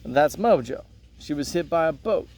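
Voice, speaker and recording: A man reading a play aloud as a serious, caring veterinarian, explaining in a measured way. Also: a little high, lively intonation, a little mellow. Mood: matter-of-fact. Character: Doctor Hayes, serious caring turtle veterinarian.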